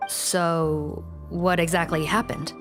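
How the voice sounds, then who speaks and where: A woman's voice: fairly low pitch, flat delivery, slow pace, over music. A woman voicing a poised, skeptical investigator in an anime game, dry and formal. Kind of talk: scripted anime game dialogue.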